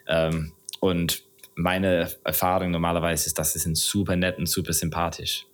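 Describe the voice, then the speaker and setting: A man's voice: deep voice, little pitch movement, normal speed, a little hiss or hum. A man from England with an English accent, a non-native speaker chatting casually as an interview guest and often searching for words. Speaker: podcast guest.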